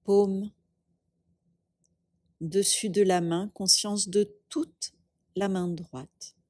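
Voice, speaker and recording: Woman yoga teacher doing guided relaxation, really slow n breathy, long pauses, super dry close sound. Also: medium pitch, dry recording. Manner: calm, unhurried, instructing in short phrases with long pauses. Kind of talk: guided meditation.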